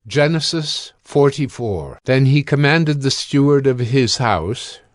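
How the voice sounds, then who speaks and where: A man's voice: mid-range voice, animated, takes it slowly, no room sound, clean studio sound, soft background music. Male narrator reading scripture.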